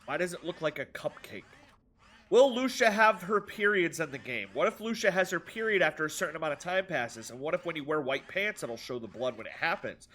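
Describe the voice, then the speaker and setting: A man's voice: on the high side, lively intonation, brisk, a bit bright. A man streaming a game with a stuffed-up nose, chatting sarcastically with his viewers as he plays. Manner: sarcastic, rambling banter with chat. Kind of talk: live gameplay stream, reading chat.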